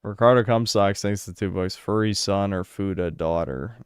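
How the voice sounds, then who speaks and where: A young man from the United States with a low, smooth, resonant voice, reading donation messages aloud and answering chat. He talks bluntly and crudely, with a sarcastic edge. The sound is dry and close, with no room echo. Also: normal speed. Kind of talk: casual stream chat.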